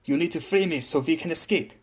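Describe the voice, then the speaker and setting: A man's voice: a little high, fast, pitched above the performer's own voice, close to an everyday voice. A man voicing an arrogant, impatient female spirit of a German warship in a story, with a German accent. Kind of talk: dramatised story reading.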